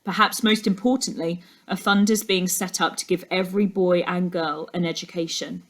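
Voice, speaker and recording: Woman reading grown man detective, storybook uncle, earnest, measured, explanatory. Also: on the low side, fairly even intonation, natural voice. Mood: reassuring. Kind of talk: storybook dialogue.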